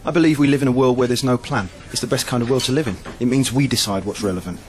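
A man's voice: medium pitch, quick pace, close to an everyday voice. An actor playing a world-weary, blunt man from another dimension in a sci-fi audio drama, terse and wry. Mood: earnest.

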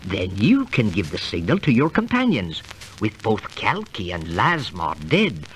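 Scheming traitor, swooping intonation. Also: male voice, lowish voice, wildly swooping pitch, a little slow, a bit bright, full character voice, poor audio. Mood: wary scheming. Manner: conspiratorial, commanding, archaic stilted phrasing. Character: scheming, wary, treacherous conspirator plotting murder in ancient times. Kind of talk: golden-age radio drama acting. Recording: old radio recording with faint noise.

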